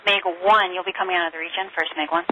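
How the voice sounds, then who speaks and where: A woman's voice: lowish voice, steady tone, very fast. A woman making terse, procedural military callouts over the radio. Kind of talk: clipped military radio chatter. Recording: muffled, noisy radio transmission.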